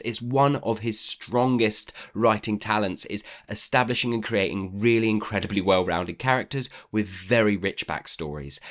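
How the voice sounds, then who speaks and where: A man's voice: lowish voice, a bit bright. A man giving a casual book review in a chatty, opinionated way, repeating words for emphasis.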